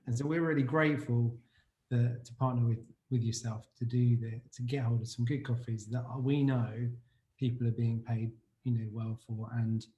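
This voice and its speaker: A man's voice: mid-range voice, fairly even intonation, moderate pace, dark tone, echoey room, a little hiss or hum, rough recording. A man hosting a casual podcast as a coffee roaster, talking enthusiastically and rambling a little with fillers.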